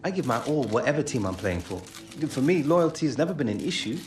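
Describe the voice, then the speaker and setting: A male actor plays a young footballer, polite and determined, in a video game cutscene. His pitch is middling and his talk informal and sincere. The room is very echoey, with faint music behind. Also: big pitch swings, quick pace, a little mellow, close to an everyday voice. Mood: earnest, confident. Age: young adult. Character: earnest eighteen-year-old male footballer, polite and determined. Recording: roomy echo, music behind.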